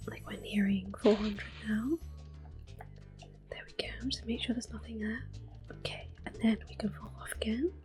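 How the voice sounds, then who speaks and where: A woman softly whispering gentle, unhurried ASMR commentary as they play a video game, explaining each step in a breathy voice. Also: medium pitch, soft background music. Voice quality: breathy whispering, soft-spoken. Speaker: gaming ASMR video host. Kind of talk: soft-spoken ASMR gameplay commentary.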